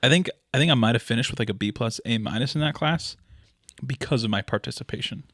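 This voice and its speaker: A man co-hosting a podcast, telling a rambling story in casual chat with deadpan jokes. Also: mid-range voice, fast, dry recording, clean studio sound. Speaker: podcast co-host.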